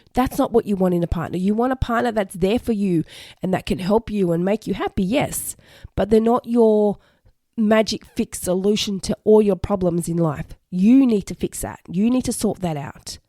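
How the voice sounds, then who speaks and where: A woman hosting a solo advice podcast, her voice a little croaky from recent illness, earnest and reassuring as she repeats her key points. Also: mid-range voice, normal speed, dark tone, no room sound, studio quality. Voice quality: slightly hoarse. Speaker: podcast host.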